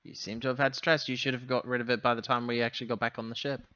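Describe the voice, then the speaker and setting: A man talking casually as a player in a tabletop roleplaying game, with wordy, deliberate phrasing. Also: medium pitch, little pitch movement, pushed and bright, clear, resonant tone, no room sound, highs cut off.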